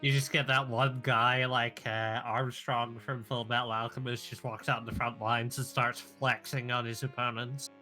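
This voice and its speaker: A man's voice: mid-range voice, little pitch movement, moderate pace, hardly any pauses, sharp tone, faint music behind. A man chatting as a player in a tabletop game, chiming in with jokes. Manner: jokey, chiming in. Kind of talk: casual chat at a tabletop game.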